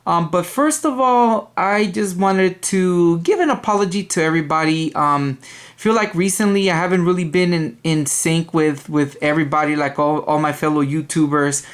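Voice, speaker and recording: Rambling young man with a loud voice. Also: a little high, moderate pace. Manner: rambling, many ums and restarts. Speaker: video game collector vlogger. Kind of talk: casual rambling vlog talk. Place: apartment dining room at night.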